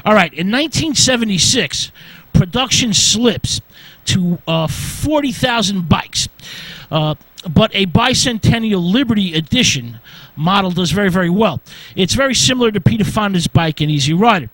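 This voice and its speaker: A man with a high-pitched voice presents an informal history lecture on the radio. He works through his notes in short phrases, often halting to say uh. The sound is close and dry, with very little room in it. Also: animated, fairly bright tone, no room sound, poor audio. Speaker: radio host.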